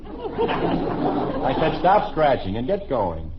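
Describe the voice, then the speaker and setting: A man's voice: mid-range voice, huge pitch swings, extremely slow, a loud crowd, full character voice. An American man playing a good-natured, easily exasperated suburban father in a 1950s radio sitcom, on a muffled, noisy old recording.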